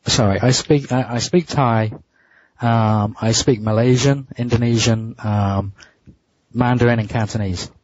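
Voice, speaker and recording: A man being interviewed, telling a story in a casual, halting way with frequent restarts and repeated words. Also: medium pitch, steady tone, darkish tone. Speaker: interview guest. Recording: muffled dry lo-fi line.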